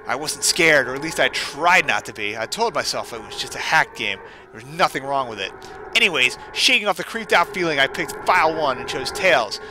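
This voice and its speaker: A man's voice: mid-range voice, animated, a little fast, noisy background. A man hosting a gaming stream, mixing casual commentary and mock dramatic reading with sarcastic, self-deprecating asides.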